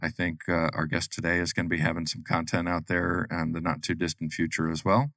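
A middle-aged man hosts a business podcast in a deep, low voice. He reads sponsor notes and introduces his guest in an upbeat, promotional way, with a few uhs. The recording sounds dry, with no room around it. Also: level tone, brisk, fairly bright tone, no room sound. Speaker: podcast host. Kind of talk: podcast host reading sponsor notes and interviewing.

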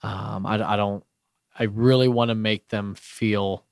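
Male guest, low voice, earnest and halting, dry studio mic. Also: fairly even intonation, gravelly, a little mellow, smooth, dry recording. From Bulgaria. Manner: earnest, halting, with many restarts and fillers. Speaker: radio show guest, business owner. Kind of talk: casual radio interview. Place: radio studio.

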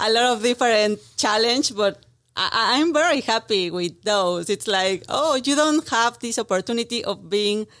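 A woman's voice: mid-range voice, no echo, clean studio sound. A woman in her thirties from Mexico City with a Mexican Spanish accent, earnestly answering interview questions with frequent restarts. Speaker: brand marketing manager, guest. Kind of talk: radio interview. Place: radio studio.

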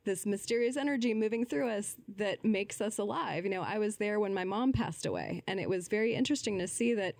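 A woman's voice: medium pitch, animated, brisk, vocal fry, few pauses, darkish tone, dry recording. A woman talking casually as an interview guest, explaining things and acting out imagined quotes.

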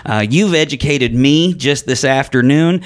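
A man's voice: mid-range voice, measured pace, clear, resonant tone. A man hosting a business radio show from a studio, upbeat and enthusiastic as he welcomes and introduces his guest. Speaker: radio host. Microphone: studio microphone.

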